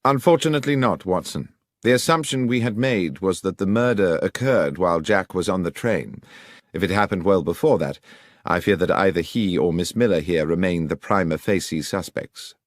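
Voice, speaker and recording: An incisive gentleman detective, played by a male audiobook narrator, formal and precise. He questions and reasons with cool authority, his pitch moving freely, in a very dry recording. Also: lively intonation, moderate pace, no echo. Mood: brisk, probing. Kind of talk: audiobook character voice.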